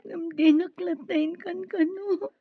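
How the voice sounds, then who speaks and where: Radio drama role: distressed young mother, very high pitched, imploring, close and muffled recording. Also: fairly even intonation, slow, no room sound. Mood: hurt. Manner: pleading, distressed. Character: tearful young mother pleading after childbirth. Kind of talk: radio drama acting in Ilocano.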